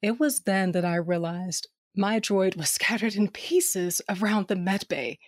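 A woman narrating an audio drama in first person as a tough, wry smuggler, soft when tender. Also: medium pitch, measured pace, no echo, clean studio sound.

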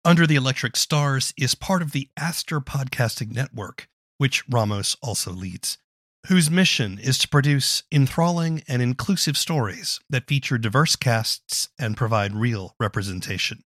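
A man's voice: mid-range voice, expressive, normal speed, a bit bright, no room sound, clean studio sound. A man reading scripted narration as the host of an interview podcast.

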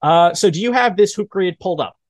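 A man in his thirties hosting a sports podcast, chatting casually in an animated way. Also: high-pitched, fairly quick, no room sound. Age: 30s. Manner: animated, mimics exclaimed reactions. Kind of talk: casual sports debate chat.

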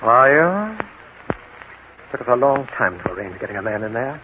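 Man playing smooth villain, mock-polite, menacing, mid-pitched, 1940s radio drama, thin noisy recording. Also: big pitch swings, moderate pace, narrow, tinny audio, close to an everyday voice. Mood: cold, reproachful. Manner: sardonic, mock-polite, insinuating. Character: smooth, menacing male crime boss buying stolen photographs.

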